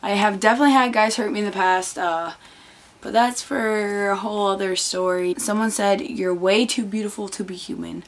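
A woman's voice: mid-range voice, steady tone, moderate pace. A teenage girl losing her voice, chatting earnestly to camera from her bedroom with lots of fillers. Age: teens. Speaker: vlogger. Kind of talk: casual chat to camera. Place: bedroom, indoors. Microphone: camera on desk, close framing.

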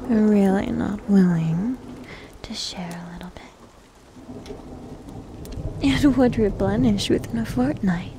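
A woman playing a needy, teasing young succubus demon in an ASMR roleplay, with a soft, breathy, often whispered voice and coy manner. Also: medium pitch, background noise, close to an everyday voice. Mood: pouting, persuasive. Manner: coy, slow, coaxing. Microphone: close microphone.

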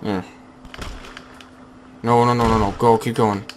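A young man casually chatting over his gameplay through a headset, slightly hoarse, rambling with fillers and restarts. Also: medium pitch, level tone, a little slow, soft background music. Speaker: gaming channel host.

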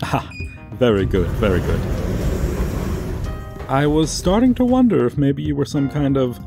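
Casting: male actor as sly villain tour guide, comedic audio roleplay; creaky voice, swooping pitch, sardonic showman delivery. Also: very animated, over music. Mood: chatty, insincere. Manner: showy, drawn-out emphasis, dry asides. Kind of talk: comedic ASMR roleplay monologue.

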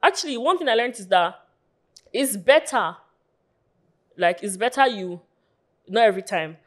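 A woman in her twenties from Lagos with a Nigerian accent, a guest influencer chatting casually in a small studio on a boom mic, full of "like" fillers. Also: expressive, moderate pace. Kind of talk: casual interview chat. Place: small pink-walled studio. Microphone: studio mic on boom arm.

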